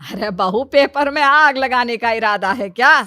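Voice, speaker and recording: A woman's voice: a little high, a little slow, pushed and bright, no echo, faint music behind. A woman voicing an easily amazed, questioning mother-in-law in an acted comedy family story. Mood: amazed, doubtful. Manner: exclamatory, wondering.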